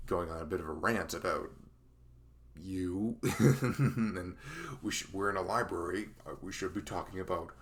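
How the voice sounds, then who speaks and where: A man acting a dorky, sentimental young best friend with a crush in an intimate ASMR roleplay, speaking haltingly in a rambling, self-conscious way with a gravelly creak. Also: low-pitched, a bit bright. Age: young adult.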